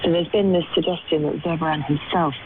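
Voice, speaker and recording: A woman's voice: low voice, fairly even intonation, a little fast. A woman speaking as an art historian and curator in an interview, explaining things articulately with emphatic descriptive words, heard over shortwave radio. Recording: tinny shortwave radio, loud static noise. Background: loud shortwave static and clicks.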